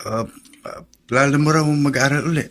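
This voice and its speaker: A humble, lovestruck young man, played by a radio actor, with a slightly high voice. He is shy and hesitant, stumbling over words in a radio drama style. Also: normal speed, a bit bright, close to an everyday voice. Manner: hesitant, stammering.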